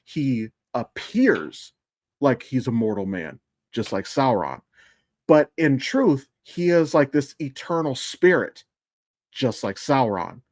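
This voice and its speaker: A man's voice: mid-range voice, very animated, slow pace, dry recording, studio quality. A man hosting a casual podcast, giving his opinions bluntly and speaking straight to the listeners. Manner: blunt, sweary, addresses listeners directly. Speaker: podcast host.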